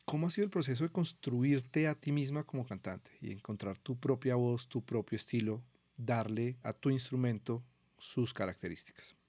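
A man's voice: medium pitch, moderate pace, dark tone, no room sound. Colombian male interviewer, dry close sound. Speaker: podcast interviewer. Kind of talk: conversational interview questioning.

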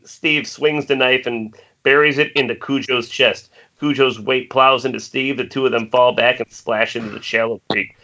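A man's voice: medium pitch, sharp tone. A man reading stage directions as scripted narration at an amateur table read over an internet call. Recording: dull lo-fi Skype call, faint noise.

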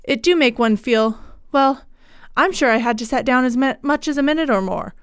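A woman's voice: sits high, moderate pace, clear, resonant tone, no room sound, clean studio sound, natural voice. A woman voicing an agitated, gossipy country housekeeper in a storybook reading, with rustic turns of phrase and exclamations. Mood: shocked, gossipy. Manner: rustic dialect, breathless exclamations.

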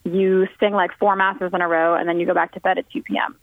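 A woman's voice: lowish voice, little pitch movement, quick pace, no echo. A woman singer talking casually in an interview, chatty with frequent ums and restarts. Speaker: guest singer. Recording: tinny phone-line audio.

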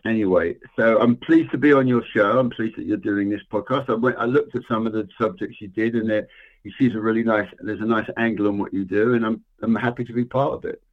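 Guest voice: middle-aged man, relaxed storyteller, heard over a thin phone-style line. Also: on the low side, a little fast. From England. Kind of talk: casual interview chat. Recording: tinny phone-like remote line.

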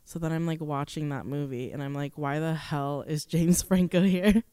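A woman's voice: low-pitched, normal speed, dark tone, clean tone, dry recording. A woman co-hosting a podcast at home, sounding stuffy as she gets over a sore throat, chatting casually with giggles and teasing. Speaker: podcast co-host. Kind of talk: casual chat. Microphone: shared handheld mic.

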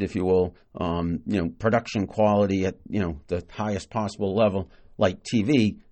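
A man's voice: lowish voice, a little mellow. A man hosting a solo podcast, talking casually and enthusiastically, with many fillers and restarts. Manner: enthusiastic, praising. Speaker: podcast host. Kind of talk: solo podcast monologue on marketing.

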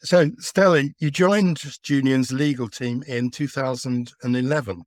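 A man's voice: medium pitch, a little slow, no echo. A man co-hosting a podcast, giving the show opening and asking a guest polite, courteous questions. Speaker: podcast co-host. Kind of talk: podcast intro and interviewing. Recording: dry voice with faint music behind.

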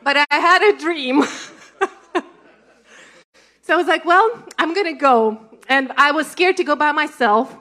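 Russian-accented woman telling her church story, kinda high voice, animated, quotes herself a lot. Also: a little slow, pauses often. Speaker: ministry leader sharing testimony. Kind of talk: conversational church talk.

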